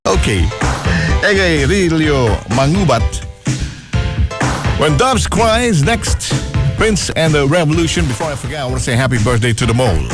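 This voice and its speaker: High-pitched, lively male radio DJ over loud music. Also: normal speed. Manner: playful, joking asides. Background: loud pop music.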